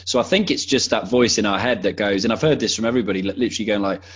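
Low husky man, enthusiastic guest. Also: rapid-fire, muffled recording. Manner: enthusiastic and conversational, full of restarts. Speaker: podcaster and interview guest. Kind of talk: casual interview conversation.

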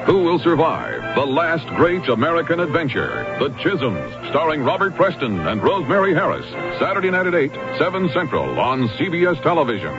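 A man's voice: fairly high pitch, huge pitch swings, fairly quick, few pauses. A man reading a dramatic 1970s television promo as an announcer. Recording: dull 1970s radio broadcast. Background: music.

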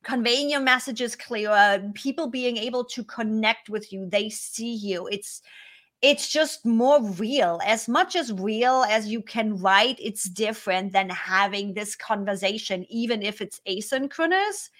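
Animated woman host, English not her first language. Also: a little high. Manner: animated, sarcastic humor, quick restarts. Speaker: podcast host. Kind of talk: conversational interview.

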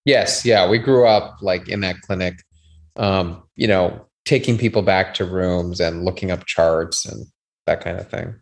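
A man in his thirties from Canada, chatting as a guest in a podcast interview. His voice sits a little low. He talks in a measured, unhurried way and hedges his points with qualifiers. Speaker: guest, doctor and TV writer. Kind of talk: casual interview chat.

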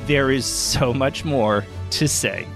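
A man hosting an interview podcast, speaking in polite, measured presenter phrases. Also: medium pitch, music in the background. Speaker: podcast host. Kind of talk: interviewing with prepared introductions.

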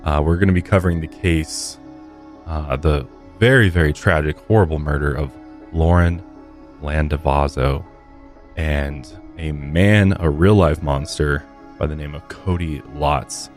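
An American man hosts a podcast in a casual, conversational way. His voice sits very low. Faint music plays behind him. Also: a little slow. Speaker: podcast host. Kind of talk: casual podcast intro and chat. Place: podcast studio.

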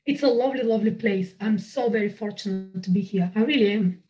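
A woman's voice: mid-range voice, fast talker, dark tone, low-quality recording. A woman in her thirties with a Bulgarian accent, a podcast guest chatting casually, bubbly and laughing often at herself. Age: 30s. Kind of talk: casual interview chat.

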